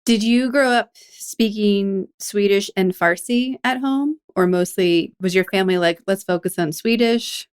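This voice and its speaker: A woman hosting a podcast interview, talking in a chatty, animated way. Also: medium pitch, a little slow, few pauses, clean tone. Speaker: podcast host. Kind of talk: conversational interview.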